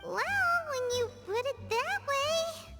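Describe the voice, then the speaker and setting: A woman voicing a tiny floating female companion, bubbly and excitable, talking about herself in the third person. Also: extremely high pitch, takes it slowly, heavily acted. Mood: chirpy. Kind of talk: game dialogue. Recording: game audio with music behind.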